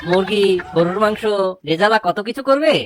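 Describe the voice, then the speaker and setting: A woman's voice: mid-range voice, animated, moderate pace, natural voice. A woman voicing a chatty, teasing grown-up in a cartoon, explaining things in a colloquial way. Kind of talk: cartoon dialogue. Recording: noisy track with clicks.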